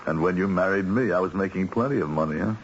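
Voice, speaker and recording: A man's voice: deep voice, fast talker. A man playing a harried, money-worried husband in a radio drama, earnest and exasperated with his wife. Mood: strained. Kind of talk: 1970s radio drama acting. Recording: dull old radio broadcast, faint hiss.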